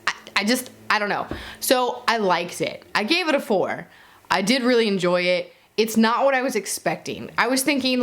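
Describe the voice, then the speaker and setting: A woman's voice: fairly high pitch, fairly bright tone. A woman giving a casual, chatty book review to camera, informal and conversational, with playful asides and self-corrections.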